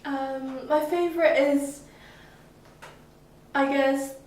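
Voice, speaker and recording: A woman's voice: high voice, fairly even intonation, drawn-out words, darkish tone. A seventeen-year-old girl from Hong Kong, a summer school student, answering interview questions with many hesitant fillers. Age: teens. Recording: echoey room, distant mic, faint noise.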